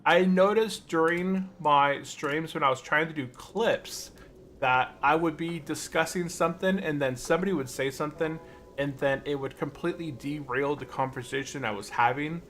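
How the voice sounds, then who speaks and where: A man's voice: on the high side, moderate pace, a bit bright, slight background noise. A man chatting casually on a gaming livestream, rambling and thinking aloud as he plays. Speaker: livestream host, gamer.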